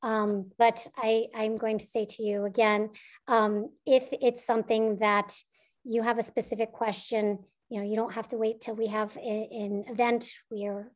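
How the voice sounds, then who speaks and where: A woman's voice: a little high, little pitch movement, moderate pace. A woman school administrator answering parents' questions in an online information session, reassuring and with frequent ums.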